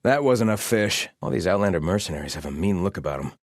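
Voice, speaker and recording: An actor playing a zealous, grumbling soldier loyal to a fallen king, delivering video game lines with pompous devotion and gruff scorn. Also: low-pitched, animated, quick pace, dry recording, studio quality. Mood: wary, gruff grumbling. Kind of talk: video game voice lines, battle barks and muttered remarks.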